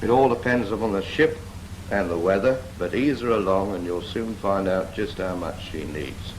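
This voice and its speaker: A man playing a patient, brisk Royal Navy instructor in a 1940s British training film, firm and fond of prompting with questions. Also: low voice, close to an everyday voice. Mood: instructive. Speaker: naval steering instructor. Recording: noisy 1940s film soundtrack.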